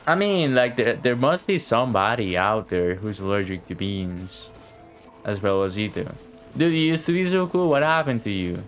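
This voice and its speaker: A male streamer with a fairly low voice chats casually through a game. He reacts in slangy, sweary mutters, with faint music underneath. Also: lively intonation, moderate pace. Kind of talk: casual live game commentary.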